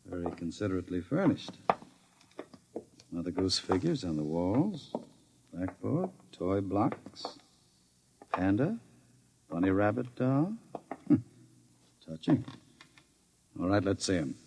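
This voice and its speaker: Casting: gentleman gangster, low voice, smooth, sardonic, menacing, old-time radio drama. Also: big pitch swings, slow pace, long pauses, noisy background, full character voice. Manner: smooth, sardonic, mockingly courteous. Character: suave, menacing gentleman gangster, mockingly polite. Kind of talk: 1950s radio drama acting.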